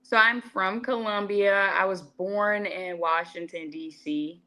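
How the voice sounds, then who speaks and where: A woman's voice: mid-range voice, fairly even intonation, unhurried, pushed and bright, rough recording. A woman chatting expressively as a guest in a casual interview. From southern United States. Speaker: interview guest, broadcast journalist and actress.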